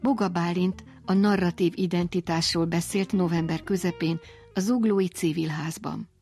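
Low-to-mid female presenter over faint music. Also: fairly even intonation, a little mellow. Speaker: radio programme presenter. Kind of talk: scripted narration.

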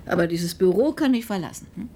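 A woman playing a principled, defiant professional in a German radio drama, speaking firmly and with measured emphasis. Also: medium pitch, animated, dark tone, a little hiss or hum, close to an everyday voice. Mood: bitter.

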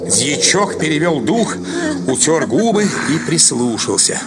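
A man's voice: a little high, sing-song, unhurried. A man reading scripted literary narration as the narrator of a radio drama. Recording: loud background noise and ambience.

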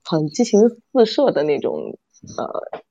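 A middle-aged woman author chatting casually about writing, halting and repeating phrases as she searches for words. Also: sits low, huge pitch swings, vocal fry, noisy background. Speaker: novel author. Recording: dull lo-fi audio, music underneath. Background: background music.

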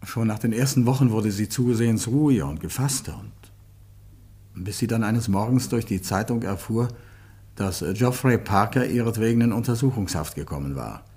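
A man's voice: lowish voice, normal speed, dark tone, slightly rough. Earnest, articulate Englishman of radio drama. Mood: grave, reflective. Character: earnest Englishman recounting and reliving a troubled love affair. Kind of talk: German radio play acting.

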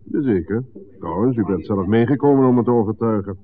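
A man's voice: fairly low pitch, brisk, close to an everyday voice. A man playing a genial, shady bar boss in a 1960s radio drama, familiar and businesslike in manner. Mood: genial, confiding. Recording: muffled, noisy old radio recording.

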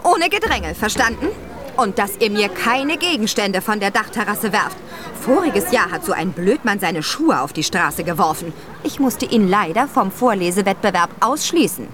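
An actress playing a bossy, impatient female teacher in a radio drama, briskly ordering children about and talking down to them. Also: mid-range voice, lively intonation, music in the background, natural voice. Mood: brisk, commanding. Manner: brisk, scolding, talks down to children.

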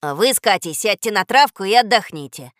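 Gruff, loyal storybook dog, acted by a woman, forceful and commanding, with animated delivery. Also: medium pitch, fairly quick, no room sound, clean studio sound, close to an everyday voice. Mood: gruffly reassuring. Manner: barking commands, gruffly confident. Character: bossy, gruff but loyal male talking dog. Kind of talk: audio storybook character acting.

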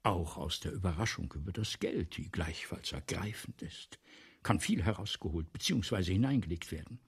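A man acting in a German radio play as a grandiose, excitable playwright, pompous and theatrical, moving between whispers and outbursts. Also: low voice, normal speed, gravelly, slightly rough, exaggerated voice. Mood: scheming, storytelling. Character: grandiose, excitable male playwright scheming a lurid melodrama.

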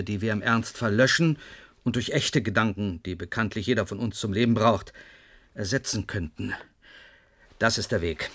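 A man's voice: medium pitch, close to an everyday voice. Domineering, impatient male project chief played by an actor. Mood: deliberate, grave. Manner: commanding, insistent, deliberate. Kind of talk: German radio play acting.

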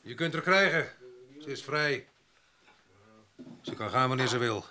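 An actor playing a rough, jealous ex-convict husband in a radio drama, coarse, domineering and mocking, with a gravelly voice. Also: male voice, mid-range voice, expressive, a little slow, pushed and bright. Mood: threatening.

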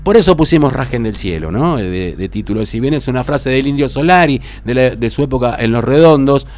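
A man's voice: medium pitch, lively intonation, brisk, a little mellow. A man in his fifties with an Argentine Rioplatense accent, co-hosting a community radio show in a reflective, rambling way with many fillers. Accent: Argentine Rioplatense Spanish. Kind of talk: casual radio sign-off.

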